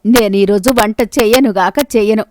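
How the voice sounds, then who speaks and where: A woman plays a hardworking, outspoken village wife from a moral storybook tale. Her medium-pitched voice is emphatic and dramatic, recorded dry with no echo. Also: moderate pace, a little mellow, close to an everyday voice. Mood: mock defiant. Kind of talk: Telugu moral story voice acting.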